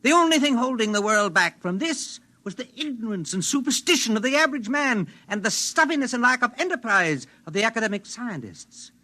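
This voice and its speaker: A man's voice: extremely high pitch, very animated, fairly quick, few pauses, bright, forceful tone, exaggerated voice. A man acting an idealistic, self-important schemer in a 1950s radio drama, declaiming fervently and insistently.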